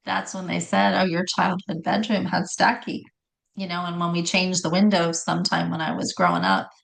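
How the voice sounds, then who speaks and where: A middle-aged woman with a raspy voice telling her personal story in conversation, often stopping to correct herself. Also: on the low side, level tone, moderate pace, a bit bright. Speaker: guest telling health story.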